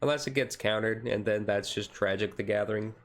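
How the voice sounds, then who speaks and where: A man streaming a casual ASMR-style card showcase, easygoing and halting as he reads out cards, his voice a little rough and taken gently. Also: lowish voice, steady tone. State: voice slightly rough, being taken easy.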